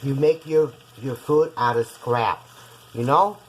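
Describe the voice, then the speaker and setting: A woman's voice: very deep voice, slow, a little hiss or hum, low-quality recording. A woman from Puerto Rico with a Puerto Rican accent, Spanish being her first language, hosting a casual home cooking show in her kitchen and chatting to her viewers as she goes. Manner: chatty, keeps calling out to viewers as guys.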